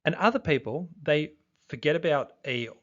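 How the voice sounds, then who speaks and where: A man's voice: fairly high pitch, animated, normal speed, muffled recording. A man of fifty with a youthful-sounding voice, talking casually to camera as a true crime YouTuber, rambling with fillers and restarts. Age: 50s. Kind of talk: casual video commentary.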